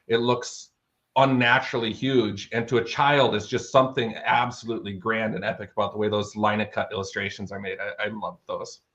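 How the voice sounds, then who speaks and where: A man's voice: fairly low pitch, level tone, a little fast, fairly bright tone, highs cut off. An American man in his thirties speaking as an interview guest, telling stories in a casual, enthusiastic and wordy way. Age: 30s. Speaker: interview guest, fantasy author. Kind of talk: casual conversational storytelling.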